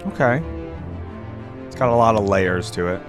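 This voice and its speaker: A man's voice: fairly low pitch, moderate pace, music in the background. A man hosting a reaction video with casual, conversational commentary, thinking aloud and qualifying his opinions as he goes.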